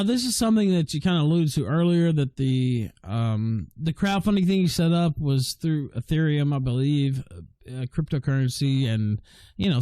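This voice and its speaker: A man's voice: mid-range voice, dark tone, dry recording. A man hosting a livestream interview in an informal, sweary way, reading out viewer questions and chatting casually. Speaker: livestream show host.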